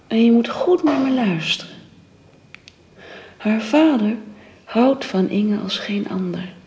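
An actress in a radio drama playing a caring, deferential woman of the household, hesitant, gentle and often hushed. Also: a little high. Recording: dull band-limited sound, faint noise.

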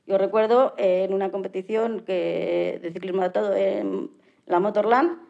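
A woman's voice: mid-range voice, steady tone, normal speed, creaky, darkish tone. Dull-sounding recording of a plain-spoken Spanish woman. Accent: Spain. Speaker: interview guest, adaptive sports athlete. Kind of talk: casual interview conversation. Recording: slightly dull lo-fi audio.

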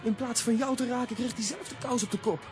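An earnest young boy adventurer with a high voice. He speaks in a children's radio play, with music clearly playing behind him. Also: fairly even intonation, brisk. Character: earnest young boy hero, admiring and sympathetic.

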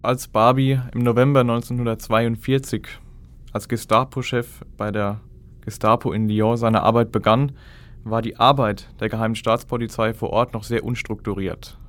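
A man's voice: medium pitch, little pitch movement, normal speed. A man speaking as a historical expert, explaining in an academic manner for a documentary.

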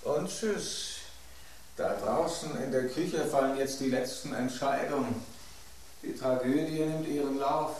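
A man's voice: mid-range voice, measured pace, darkish tone, a little hiss or hum, natural voice. An actor playing a foreboding male watcher in a radio drama, dramatic and portentous. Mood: fateful resignation. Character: foreboding, doomed male watcher at a dinner table. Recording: roomy, echoing sound.

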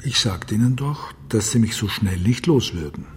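A man's voice: lowish voice, lively intonation, normal speed, a little hiss or hum, natural voice. An actor playing a desperate, obsessive father in a radio crime drama, speaking in an insistent, pressing way. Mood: grimly determined. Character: desperate, obsessive father searching for his kidnapped daughter.

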